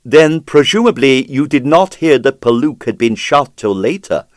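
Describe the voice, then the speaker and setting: A man voicing a polite, persistent police detective with measured, formal questioning. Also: medium pitch, expressive, no room sound, clean studio sound, pitched above the performer's own voice, natural voice. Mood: polite, probing.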